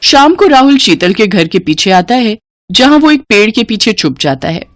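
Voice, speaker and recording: A woman's voice: a little high, sing-song, normal speed, no room sound. A woman reading scripted narration as the storyteller of a dramatized tale.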